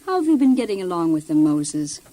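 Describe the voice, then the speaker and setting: An actress playing a prophet's devoted, admiring wife, tender and earnest, in an audio drama. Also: female voice, fairly low pitch, big pitch swings, a little fast, mellow. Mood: concerned.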